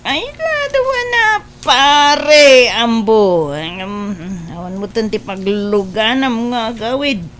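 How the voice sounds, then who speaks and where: A woman's voice: fairly high pitch, sing-song, takes it slowly, hardly any pauses, a bit bright, full character voice. A woman with an Ilocano accent playing a teasing, hard-drinking elder sister in a radio comedy drama. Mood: coaxing.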